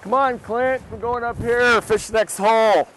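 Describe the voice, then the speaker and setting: A middle-aged man hosting a fishing show outdoors by a river, talking casually with quick, enthusiastic exclamations on a clip-on mic. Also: very high-pitched, fairly even intonation, fairly bright tone, slight background noise, low-quality recording.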